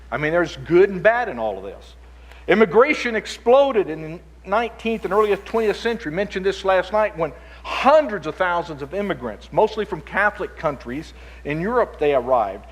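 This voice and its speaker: A man who grew up in Chile, giving a lecture-style conference talk and often repeating words as he restarts his sentences. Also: sits high. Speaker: conference speaker. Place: conference hall. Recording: long hall reverb.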